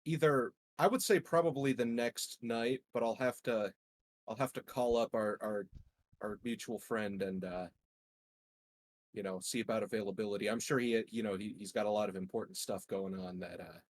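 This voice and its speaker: A man's voice: fairly low pitch, normal speed, no room sound. A man playing a tabletop roleplaying game, chatting in an informal, joking way. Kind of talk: casual chat.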